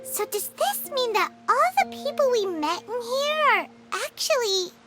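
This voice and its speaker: A woman voicing an innocent, cheerful little girl full of wonder, with a childlike, drawn-out delivery in a video game. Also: very high-pitched, lively intonation. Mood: curious. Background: music.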